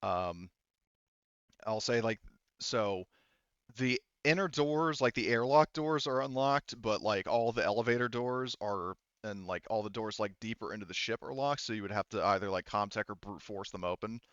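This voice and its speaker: A low, smooth male voice, dry and slightly muffled. He is a game master talking through a tabletop session casually, pausing often as he works things out aloud. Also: fairly bright tone, no room sound. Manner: halting, thinking aloud.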